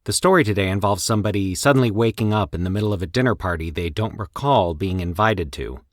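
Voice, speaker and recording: A man narrating a scripted horror story in a formal, deliberate, theatrical storytelling manner. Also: low voice, lively intonation, dry recording, studio quality. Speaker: host and narrator of a fiction podcast.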